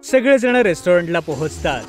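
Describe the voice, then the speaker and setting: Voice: mid-range voice, big pitch swings, moderate pace. A narrator reading a scripted children's story in a storytelling style. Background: background music.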